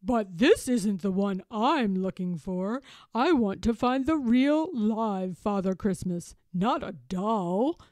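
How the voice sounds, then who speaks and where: A woman's voice: mid-range voice, lively intonation, a little slow, warm tone, no echo, clean studio sound, natural voice. A woman voicing a courteous, earnest storybook elephant, speaking with emphatic, wide-eyed hopefulness. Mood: disappointed. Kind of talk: children's storybook character voice.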